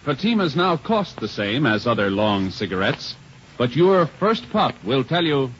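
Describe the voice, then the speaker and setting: A man announcing on old-time radio in a formal, deliberate, emphatic scripted style. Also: mid-range voice, big pitch swings, slight background noise. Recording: dull vintage radio broadcast recording.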